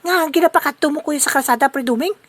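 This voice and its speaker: A woman voicing an excitable, inquisitive Filipino male character in a comic audio drama, exclaiming and questioning. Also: high-pitched, fast talker, natural voice. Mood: puzzled. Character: excitable, inquisitive male buddy, Pre Albedo.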